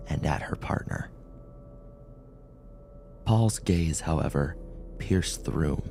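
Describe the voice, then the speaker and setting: A man with a deep, low voice narrates horror fiction at a slow, deliberate pace. He reads in a suspenseful storytelling manner, with some noise audible in the recording. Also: gravelly, mellow. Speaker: audiobook narrator.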